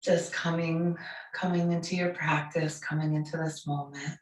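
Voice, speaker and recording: A hoarse woman in her forties with a raspy voice from a cold, gently guiding a yoga class with soft, soothing instructions. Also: deep voice, level tone. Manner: slow, soothing, softly encouraging. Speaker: yoga teacher. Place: bright home studio room with large windows. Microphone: camera across the room. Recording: echoey room, voice from far off.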